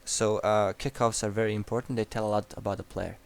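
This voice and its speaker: Young Croatian-accented man, lowish voice, blunt and profane game coach. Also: little pitch movement, fast, soft background music. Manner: blunt and profane, frequent restarts. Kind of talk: replay analysis commentary.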